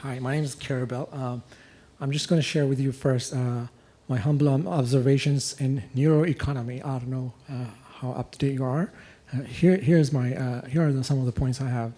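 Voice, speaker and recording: A young man from Ethiopia asking a long question at a lecture, halting and full of restarts. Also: medium pitch, fairly even intonation, mellow. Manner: halting, many fillers and restarts. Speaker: audience questioner. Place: lecture hall.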